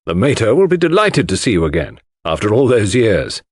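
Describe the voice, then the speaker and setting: A man voicing an exasperated, outspoken English country gentleman with slangy upper-class talk in an audiobook. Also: lowish voice, big pitch swings, fairly quick, slightly rough, no room sound, studio quality. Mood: genial. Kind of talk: audiobook character dialogue.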